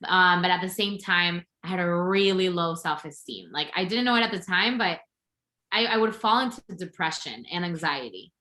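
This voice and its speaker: A woman's voice: fairly low pitch, expressive, normal speed, bright, forceful tone, reverberant. A woman from Venezuela, a podcast guest and intuitive coach, telling her story in an open, upbeat way. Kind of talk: casual interview chat.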